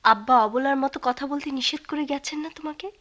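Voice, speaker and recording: An actress playing a firm, proud young orphaned daughter in a radio play, earnest and reproachful. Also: female voice, high voice, brisk, a bit bright. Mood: resolute. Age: young adult. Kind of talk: Bengali radio play acting.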